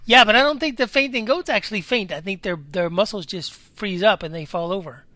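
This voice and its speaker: A man in a casual podcast chat with a slightly raspy voice, talking loosely and jumping between topics. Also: high-pitched, fairly quick, bright, forceful tone, dry recording. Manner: chatty, jumps between topics. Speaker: podcast co-host. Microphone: home microphone.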